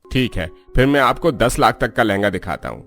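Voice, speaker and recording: Guy doing a polite helpful shop seller for a cartoon, average pitch, faint music behind. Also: animated, fairly quick, a bit bright. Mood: courteous. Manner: accommodating, courteous. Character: polite, obliging male shopkeeper serving customers.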